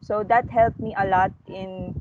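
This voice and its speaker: A woman's voice: medium pitch, fairly even intonation, measured pace. A young woman with a Filipino accent whose first language is Tagalog, a student answering thoughtfully in a class discussion. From Philippines. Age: young adult. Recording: dull lo-fi mic with clear noise.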